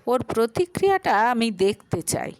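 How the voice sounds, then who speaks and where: A woman's voice: a little high, very animated, normal speed, no room sound. A woman voicing a betrayed wife who speaks in a hard, biting tone in an audio story. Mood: stern. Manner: stern, biting.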